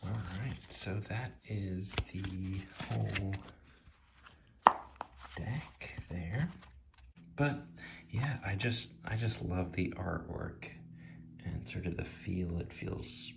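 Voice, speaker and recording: A soft-spoken ASMR host giving a casual, hushed, unscripted commentary while flipping through a card deck. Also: low-pitched, slow pace, vocal fry, pauses often, noisy background. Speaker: tarot deck reviewer, video host.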